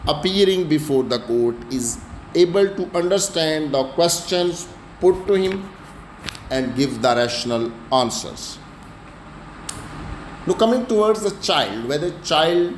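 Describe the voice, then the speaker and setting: A man with a Pakistani accent gives an explanatory law lecture, emphatically repeating his key phrases. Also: fairly high pitch. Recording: echoey room with faint background noise.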